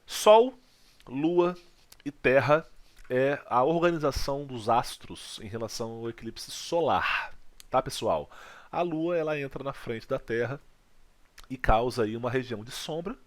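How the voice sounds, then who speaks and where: Male teacher from Brazil giving a video lesson, lively, keeps asking if students follow. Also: mid-range voice. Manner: lively teacher, checks in with "legal?" and "tá?". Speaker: physics teacher.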